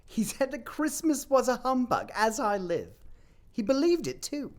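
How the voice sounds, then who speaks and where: A man's voice: very high voice, huge pitch swings, a little slow, voice pushed up, full character voice. A man voicing a cheerful, good-humoured Victorian nephew in an audio play, jovial and hearty. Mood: amused. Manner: jovial, exclaiming.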